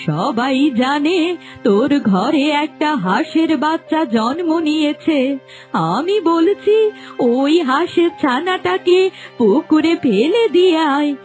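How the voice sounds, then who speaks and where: A woman playing a harsh, domineering older mother-in-law in an animated folk story. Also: high voice, slow, soft background music. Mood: angry, threatening.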